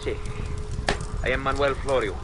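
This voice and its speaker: A man's voice: medium pitch, natural voice. A man playing a polite, formally spoken government inspector in vintage radio drama style. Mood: formal, explanatory. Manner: formal, measured wording. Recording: noisy vintage radio recording with rain ambience.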